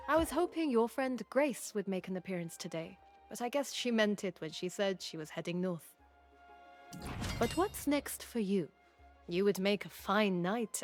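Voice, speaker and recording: A woman's voice: medium pitch, a little mellow, lightly acted. Female actor as gracious fantasy game monarch: sincere, concerned, humble, mid-range voice with music underneath. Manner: sincere, measured. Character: gracious, earnest young warrior queen, humble and concerned. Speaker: video game character. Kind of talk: dramatic game cutscene acting.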